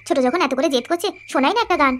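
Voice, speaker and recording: A woman voicing a soft-spoken, obliging middle wife in a cartoon. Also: sits high, lively intonation, very fast, noisy background. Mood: kind. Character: gentle naive middle wife. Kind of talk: cartoon voice acting.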